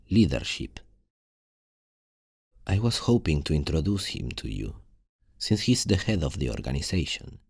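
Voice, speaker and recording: A man playing a confident, cheeky thief recruiter in a fantasy ASMR roleplay, gravelly and husky, speaking in an unhurried, playful, expressive way. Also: rumbling low, dry recording, studio quality, exaggerated voice. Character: confident, cheeky male thief recruiter showing a newcomer around.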